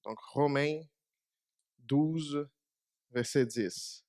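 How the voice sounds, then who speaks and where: Slow, higher-voiced preacher, native Portuguese speaker. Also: male voice, darkish tone, no room sound, studio quality. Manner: conversational, asks the congregation questions. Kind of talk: short church meditation. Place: small church.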